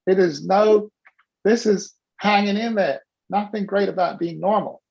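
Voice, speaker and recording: A man coaching a participant on a video call, animated and teasing as he prods them by name. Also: high voice, unhurried, creaky, muffled recording. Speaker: business coach.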